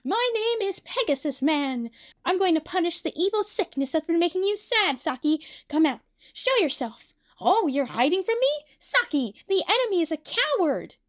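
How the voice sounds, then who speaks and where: A woman's voice: extremely high pitch, very animated, moderate pace, pitched above the performer's own voice. A woman voicing a little boy who plays a bold make-believe superhero, declaiming heroically. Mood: bold, playful.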